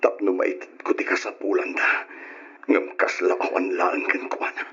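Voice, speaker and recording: Hurt bitter husband in old radio drama, low raspy voice, very emotional, muffled recording. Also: male voice, flat delivery, unhurried, fairly bright tone, full character voice. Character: wounded, bitter husband and devoted father, emotional.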